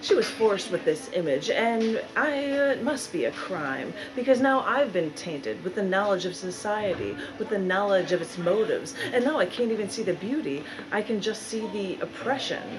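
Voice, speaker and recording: A woman giving a live spoken-word poetry reading in a bookstore, phrasing dramatically and deliberately with drawn-out emphasis. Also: mid-range voice, expressive, over music, rough recording. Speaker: poet reading own work.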